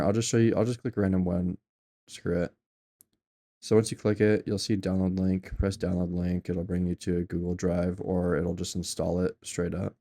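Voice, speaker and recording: A man talking through a software tutorial in an offhand, rambling way, with many ums and self-corrections. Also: deep voice. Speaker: tutorial maker. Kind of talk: casual screen-recorded software tutorial.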